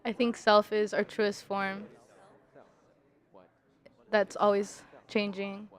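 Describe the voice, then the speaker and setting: A woman speaks in a medium-pitched voice with little variation. She offers reflective statements in a considered way. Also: flat delivery, slow pace.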